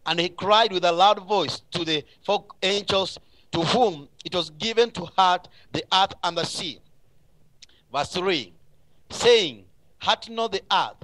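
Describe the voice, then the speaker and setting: High-pitched, bright-voiced man preaching. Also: measured pace, no room sound, rough recording. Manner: emphatic, repetitive exhortation. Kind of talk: live radio sermon.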